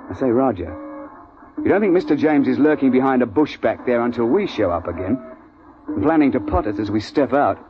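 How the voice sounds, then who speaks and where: A man's voice: mid-range voice, expressive, brisk, noisy background, lightly acted. An actor playing an urbane, unflappable British big-game guide who wryly tells his tale in a 1950s radio drama. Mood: coolly resigned. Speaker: lead actor and narrator. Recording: muffled 1950s radio recording.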